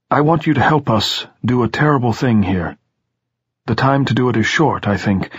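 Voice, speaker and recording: A man voicing an elderly headmaster who is courtly, grave and resolute, with formal, pedantic, measured diction in an audiobook. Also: medium pitch, slightly rough, no room sound, muffled recording, voice pushed up, natural voice.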